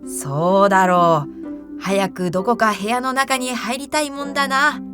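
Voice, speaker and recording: A pompous young city gentleman, acted out by a woman in a storybook tale, with music playing behind. He talks in old-fashioned, knowing phrases, pitched a bit high, and sounds gullibly sure of himself. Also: fairly even intonation, unhurried, lightly acted. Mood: puzzled, impatient. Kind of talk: theatrical fairy-tale character reading.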